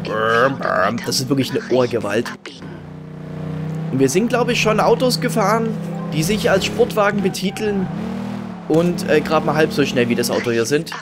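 Chatty German man over loud game music. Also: a little high, moderate pace. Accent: regional German dialect. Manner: chatty, self-mocking, rambling. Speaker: gaming video host. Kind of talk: casual let's-play commentary. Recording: loud game music and engine noise behind.

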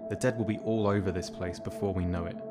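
Weary young soldier played by a male actor, low, dry and sarcastic, audio drama with music underneath. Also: steady tone, quick pace, close to an everyday voice. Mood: urgent, bitter.

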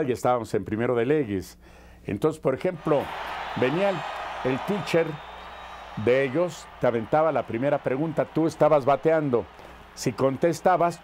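A man's voice: mid-range voice, a little mellow, a little hiss or hum. An older man recalling his radio days conversationally in an interview. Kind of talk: conversational reminiscence. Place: book-lined study indoors.

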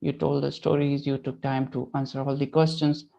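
A man's voice: medium pitch, little pitch movement, moderate pace, vocal fry, warm tone. A young man hosting a webinar over a video call with a headset, hesitant and full of fillers. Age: young adult. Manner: hesitant, filler-heavy, laughs often. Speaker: webinar host. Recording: dull lo-fi call audio.

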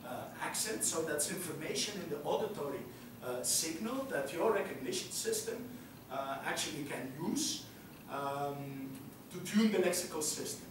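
A middle-aged man with a Belgian Dutch accent, a native Dutch speaker, giving an academic lecture and filling his pauses with frequent "uh"s. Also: on the high side, lively intonation, background noise. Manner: frequent "uh" fillers, walks about while explaining. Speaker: university lecturer. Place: large lecture hall. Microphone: room mic far from speaker. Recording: echoey lecture hall from far off.

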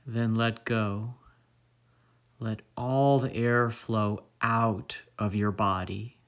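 A man leading a guided meditation as instructor, speaking in measured phrases with long pauses. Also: on the low side, steady tone.

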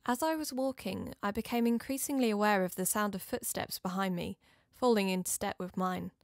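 Female investigator-narrator played by an actress: uneasy, confiding, scripted first-person drama, mid pitch, close dry recording. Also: fairly quick, creaky, no echo, lightly acted. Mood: uneasy, reflective.

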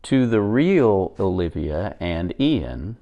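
A man in his forties reading a picture book aloud to young children, expressively and with deliberate pauses. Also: sits low, sing-song, dark tone, muffled recording.

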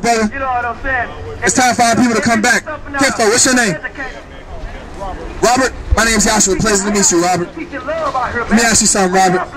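A man, preaching confrontationally on the street, loudly questioning passers-by through a microphone. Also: extremely high pitch, low-quality recording. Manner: loud, insistent, questioning bystanders. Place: under a canopy on a city street. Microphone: handheld microphone through a loudspeaker. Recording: outdoor street PA with crowd noise.

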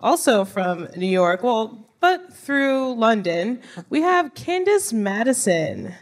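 A woman's voice: on the high side, sing-song, slow pace. Young woman moderator on a stage panel, handheld mic, keeps her questions brief and friendly. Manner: friendly and brief, with short questions and small acknowledgements. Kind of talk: live panel hosting. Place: theater stage in front of a live audience.

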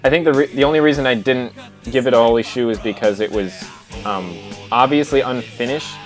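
Young man, mid-pitched, chatting loosely over music. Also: fairly quick. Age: young adult. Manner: loose speech heavy with "like". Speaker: co-host of a track review stream. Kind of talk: casual chat. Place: home room on a group video call. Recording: music playing behind the voice. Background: plucked guitar music.

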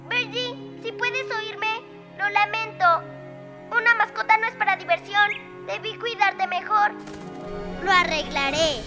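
A woman's voice: very high-pitched, unhurried. A woman with a Latin American Spanish accent voicing an eager, earnest little girl superhero in a children's cartoon dub. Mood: remorseful, resolute. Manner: earnest, expressive. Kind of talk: animated children's series dub. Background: music.

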